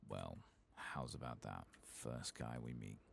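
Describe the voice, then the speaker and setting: A soft-spoken man murmuring unhurried ASMR commentary to himself as he plays through a video game. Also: a bass voice, creaky. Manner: murmured, unhurried, talking to himself while playing. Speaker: gaming channel host. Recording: faint background noise.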